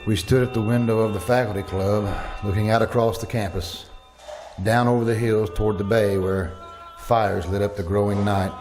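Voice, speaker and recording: A man's voice: fairly low pitch, fairly even intonation, moderate pace, a little mellow, faint music behind, lightly acted. A man acting a grave, hesitant survivor who narrates the end of the world in an old-time radio thriller. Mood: somber.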